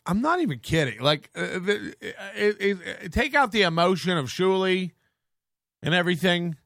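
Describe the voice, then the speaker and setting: Bright-voiced crude male podcast host. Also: on the high side, dry recording, clean studio sound. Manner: crude, joking, meandering with frequent restarts. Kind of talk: casual rambling chat.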